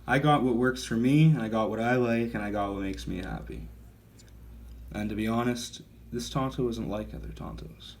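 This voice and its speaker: A man's voice: mid-range voice, fairly even intonation, moderate pace, a little hiss or hum. A man in his thirties giving a casual gear review, talking in a rambling, self-correcting and enthusiastic way. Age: 30s. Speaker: knife reviewer.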